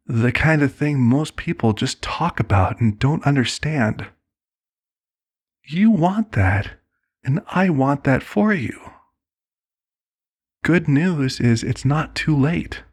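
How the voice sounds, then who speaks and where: Casting: male actor for a manipulative male cult leader in ASMR roleplay, mid-pitched, unhurried, calmly persuasive and patronizing. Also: slightly rough, full character voice. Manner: slow, soothing, condescending, persuasive.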